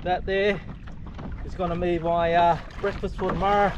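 An Australian man in his thirties talks over a high, lively voice as he fishes from a kayak, exclaiming often at each catch. He's recorded outdoors on an action camera, with loud wind and water noise all around. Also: normal speed, highs cut off. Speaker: fishing vlogger. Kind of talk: excited fishing commentary. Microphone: action camera on the boat.

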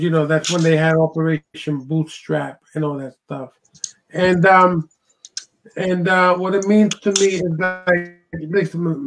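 A man's voice: on the high side, a little hiss or hum, poor audio. A man in his sixties, born in Puerto Rico, co-hosting a casual video call chat and talking with passion, with many "you know"s. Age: 60s. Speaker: co-host. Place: home room at a desk. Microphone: headset.

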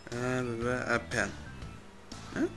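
Slow-paced man, gaming. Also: mid-range voice, little pitch movement, music in the background. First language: Spanish. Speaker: gamer commentating his play. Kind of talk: casual let's-play commentary.

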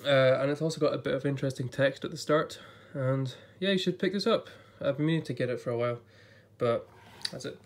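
A man's voice: medium pitch, fairly quick, hardly any pauses. A man, a little off-voice while getting over an illness, flipping through an illustrated book in a casual vlog, chatty and thinking aloud. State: voice a bit off from recent illness. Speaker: YouTuber reviewing a book. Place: indoors at a table before a blue backdrop.

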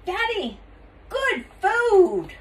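A woman's voice: very high voice, big pitch swings, extremely slow, voice pushed up, heavily acted. A woman voicing a small girl in a storybook, childlike and exclaiming, by turns indignant and pleading. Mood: excited.

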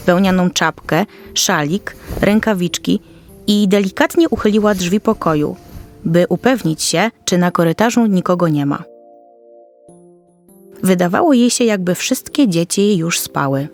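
A woman's voice: mid-range voice, unhurried, soft background music. Woman narrating a children's fairy tale. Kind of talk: scripted children's story narration.

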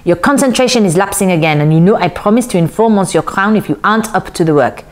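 A woman voicing a stern, disapproving older seamstress in a storybook, with a sharp, scolding manner. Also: mid-range voice, lively intonation, quick pace, voice pushed up, close to an everyday voice.

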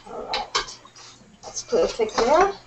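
A woman in her thirties hosts a cooking show from her home kitchen, talking through each step on a video call. Her voice sits fairly high, and she chats easily as she cooks. Her webcam audio carries a clear layer of noise. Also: sing-song, slow. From Trinidad and Tobago. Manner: chatty, laughing, narrating her own steps. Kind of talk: live cooking demonstration chat.

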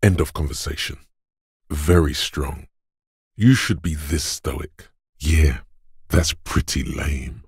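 An actor voicing a gruff, macho inner voice of physical strength for a video game, like a drill coach, blunt, taunting and commanding, with a gravelly, husky tone. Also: male voice, rumbling low, slow, long pauses, no room sound, clean studio sound, heavily acted. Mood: scornful, urging.

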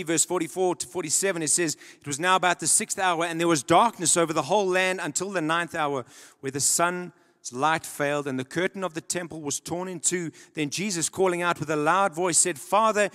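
A young man preaches from a big church stage, holding a handheld mic. His voice sits a little high, and he moves from loud emphatic peaks down to low, quiet lines. A long reverb from the hall trails after his words. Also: a little fast. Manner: dramatic, builds to loud emphatic peaks then drops low. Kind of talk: sermon.

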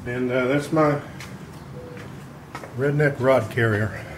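A man's voice: medium pitch, slow, background noise. A middle-aged man from Texas casually explaining a homemade project in his garage, talking in a plain, rambling way with lots of fillers. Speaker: DIY maker. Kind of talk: casual how-to demonstration. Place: home garage workshop. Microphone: camera mic from a distance.

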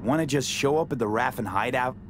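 A man's voice: mid-range voice, a little fast, lightly acted. A man playing a pragmatic, streetwise mercenary in a video game, talking in a dry, curt, sardonic way. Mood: exasperated. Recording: loud vehicle noise and game ambience.

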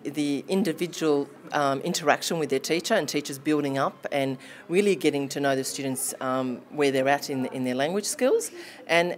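A woman, answering interview questions in a classroom with many ums and restarts. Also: low-pitched. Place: classroom indoors. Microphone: handheld lapel mic held near chest.

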